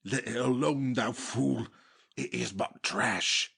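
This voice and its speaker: A man playing a brutish monster slave, fawning yet murderous, with a rough, urgent voice in a dramatic Shakespearean audiobook. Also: medium pitch, takes it slowly, pushed and bright, full character voice. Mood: exasperated. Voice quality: husky, rough. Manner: urgent, conspiratorial.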